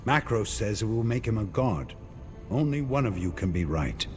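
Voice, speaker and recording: A resolute, wary warrior hero from a fantasy game. His voice is deep and stern, with grave, weighty phrasing that rises and falls dramatically. Music plays beneath him. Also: sing-song, normal speed, a little mellow. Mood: probing, wary. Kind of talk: dramatic video game cutscene acting. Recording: music under the voice.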